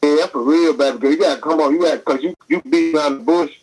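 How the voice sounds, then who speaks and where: A man's voice: a little high, quick pace, darkish tone, faint music behind. A man with a Southern accent talking over a bad phone line, rambling and defensive in slangy speech. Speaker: caller. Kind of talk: phone call on air.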